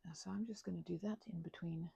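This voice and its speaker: A woman rambling casually as an ASMR host, mumbling in a low-key, musing way, her voice hushed and often slipping toward a whisper. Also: steady tone, fast, vocal fry, husky, no room sound. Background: keyboard clicking.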